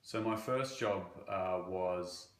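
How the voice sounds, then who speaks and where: A man from Croatia working as a banking relationship manager, answering interview questions in a halting way with many fillers. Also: sits low, echoey room. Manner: halting, with frequent fillers and restarts.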